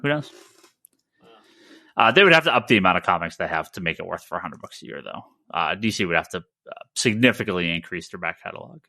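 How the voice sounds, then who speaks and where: A man's voice: sits low, lively intonation, fast, sharp tone, dry recording, studio quality. A man hosting a casual, informal discussion of comics news. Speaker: podcast host.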